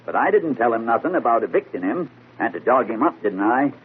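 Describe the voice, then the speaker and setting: A man's voice: medium pitch, sing-song, fairly quick, slightly rough. A man playing a flustered, grumbling apartment manager with colloquial speech in a 1940s radio mystery. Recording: tinny, noisy old radio broadcast.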